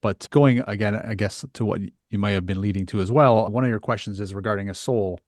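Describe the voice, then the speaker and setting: A man with a lowish voice hosts a conversational interview. He phrases things tentatively and thoughtfully, feeling his way through each point. The sound is dry, with very little room to it. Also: steady tone, fairly quick, darkish tone, no echo. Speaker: podcast host.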